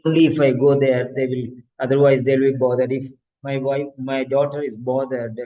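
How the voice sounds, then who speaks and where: A man voicing a frail ninety-year-old client who is moving to a retirement home, said in slow, halting phrases. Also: mid-range voice, flat delivery, mellow, muffled recording, close to an everyday voice.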